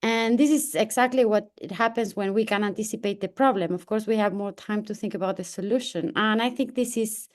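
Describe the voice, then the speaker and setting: Woman lecturing online over a video call, lots of uhs and restarts, mid-pitched voice. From Argentina. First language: Spanish. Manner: halting, many fillers and restarts. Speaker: invited researcher giving a lecture. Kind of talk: academic online talk.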